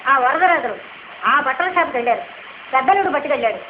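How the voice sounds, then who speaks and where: A woman's voice: high voice, animated, quick pace. A woman playing a practical older wife in a radio comedy drama, chiding and exclaiming in a matter-of-fact way. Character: practical, scolding older wife and mother-in-law. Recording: echoey, narrow-band, noisy old radio recording.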